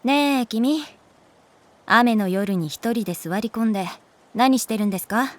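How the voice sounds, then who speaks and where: A woman's voice: a little high, unhurried, close to an everyday voice. A woman playing a pragmatic, teasing female schoolteacher in a Japanese audio drama, moving between polite firmness and casual bluntness. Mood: firm, polite, exasperated.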